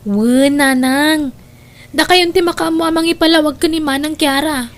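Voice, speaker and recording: A woman's voice: high-pitched, moderate pace, lightly acted. A woman playing a stubborn, insistent young college daughter in a Filipino radio drama. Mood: resolute.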